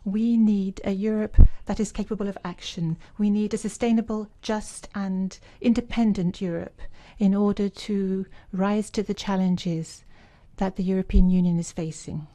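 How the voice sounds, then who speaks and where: A woman interpreting live, dark and hesitant. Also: medium pitch, fairly even intonation, moderate pace. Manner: hesitant, many fillers while keeping up with the speaker. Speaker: simultaneous interpreter. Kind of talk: live interpretation of citizen speeches.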